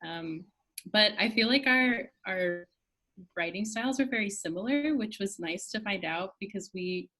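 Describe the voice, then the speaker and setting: A woman's voice: medium pitch, lively intonation, moderate pace, sharp tone, low-quality recording. A woman who writes for a theatre group, talking casually. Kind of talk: casual chat.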